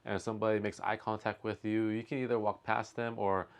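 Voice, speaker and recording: Low-voiced man giving casual reaction commentary. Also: flat delivery, fast. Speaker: reaction video host and commentator.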